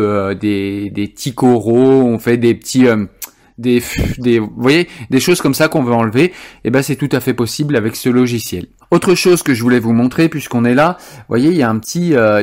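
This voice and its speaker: A man gives a software tutorial in an informal, spoken-as-he-goes style. His voice sits at a medium pitch, and he walks the listener through each step as he demonstrates it. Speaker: tutorial presenter.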